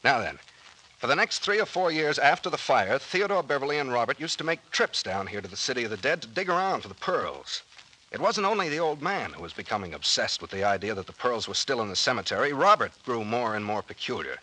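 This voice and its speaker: Confident detective captain, vintage radio serial, lively pitch swings, forward bright tone, brisk storyteller. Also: male voice, low-quality recording. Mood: explaining, assured. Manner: brisk, slangy, expository. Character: confident male detective captain explaining a mystery. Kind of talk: 1940s radio drama acting.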